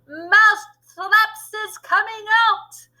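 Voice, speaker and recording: A woman's voice: on the high side, animated, a little slow. A woman acting out a disgusting old witch leader from a children's storybook, gleefully cruel, with theatrical, chanting delivery and broken grammar. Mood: gloating, shouting. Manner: ungrammatical, chanting, theatrical shouting.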